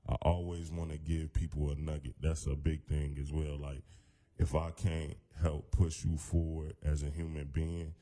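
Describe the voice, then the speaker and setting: A man with a very deep voice, a hip-hop artist talking as a guest in a radio studio in a loose, laid-back way full of fillers. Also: level tone, normal speed, warm tone. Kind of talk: casual radio interview.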